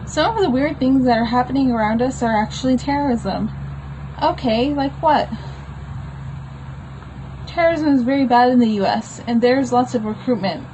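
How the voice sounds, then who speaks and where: A woman's voice: high-pitched, normal speed, pauses often, darkish tone, slight background noise, poor audio. A woman reading her own homemade comic aloud in a plain, unpolished way, with informal explanatory asides. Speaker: comic book author reading own work.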